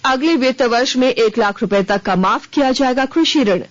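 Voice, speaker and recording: Woman newsreader doing a formal radio bulletin, kinda high voice, muffled lo-fi broadcast. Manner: formal, measured announcer delivery. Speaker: radio news reader. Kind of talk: scripted news bulletin reading. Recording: dull, band-limited radio broadcast.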